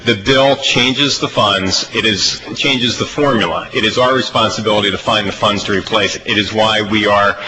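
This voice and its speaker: An American man chairing a legislative hearing, speaking off the cuff in halting, emphatic phrases with repetition. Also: lowish voice, fairly even intonation, crowd noise. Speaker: committee chairman. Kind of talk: extemporaneous remarks at a legislative budget hearing. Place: legislative committee hearing room. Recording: noisy legislative hearing audio.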